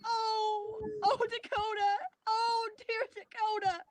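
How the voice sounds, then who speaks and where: Woman playing super high voiced grieving young mourner, slow n halting, bitter and weepy, stage play style. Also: level tone, a crowd in the background. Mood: anguished grief. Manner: dramatic, halting, anguished delivery. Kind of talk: theatrical monologue in a staged reading.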